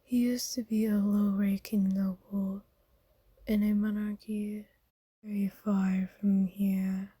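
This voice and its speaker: A woman voicing a kind, doting, centuries-old vampire in a soft, breathy whisper, speaking formally and tenderly in an ASMR roleplay. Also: medium pitch, little pitch movement, no room sound. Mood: calm, genteel. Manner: slow, tender, formal wording.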